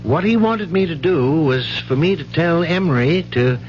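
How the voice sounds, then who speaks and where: A man's voice: a little high, lively intonation, moderate pace, a little mellow, close to an everyday voice. Actor as a precise, reserved male professor. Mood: earnest, concerned. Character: proper, measured male university marine professor. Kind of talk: 1970s radio mystery acting. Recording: muffled, noisy 1970s radio recording with hum.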